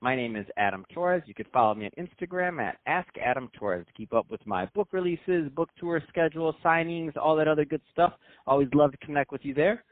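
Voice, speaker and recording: Fast-talking upbeat male podcast host. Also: on the high side, no room sound, narrow, tinny audio. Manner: upbeat, promotional. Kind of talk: scripted show intro and interviewing.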